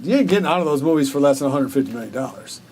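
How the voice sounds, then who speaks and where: A man's voice: a little high, lively intonation, very fast, darkish tone, soft background music. A man in casual co-host conversation, speaking bluntly and slangily with some swearing. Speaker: podcast co-host. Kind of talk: casual chat. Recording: clear background noise.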